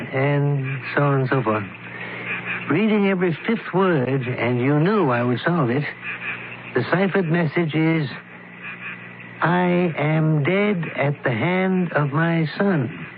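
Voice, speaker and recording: Precise doctor-sleuth on tinny old radio. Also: male voice, on the high side, lively intonation. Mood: coolly accusing. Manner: measured, precise, deliberate explaining. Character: shrewd, candid older male doctor, amateur sleuth. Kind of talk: old-time radio drama acting. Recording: tinny, noisy radio drama recording.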